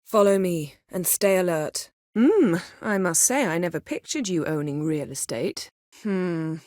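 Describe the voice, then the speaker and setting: A woman playing a proud, sardonic, commanding sorceress in a video game, speaking in dry, measured, formal phrases. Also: fairly low pitch, expressive, darkish tone, no echo. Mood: dry, composed.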